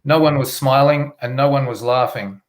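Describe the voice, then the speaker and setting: A man's voice: medium pitch, moderate pace. A man, an author talking casually and reading his crime fiction aloud. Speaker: author, interview guest.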